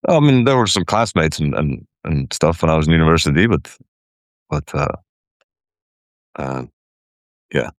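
A man's voice: rumbling low, animated, normal speed, pauses often, no echo, studio quality. A man from Iceland, a guest in a conversation, speaking hesitantly and repeating words as he restarts his sentences. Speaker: caller, guest. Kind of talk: casual personal conversation.

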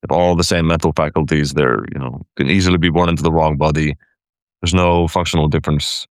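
A man from Iceland talks about his past as a guest in a conversation, stumbling and repeating words as he restarts his thoughts. His voice is very deep, and the recording is close and dry. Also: steady tone, quick pace, clear, resonant tone, dry recording. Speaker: caller, guest. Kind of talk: casual personal conversation.

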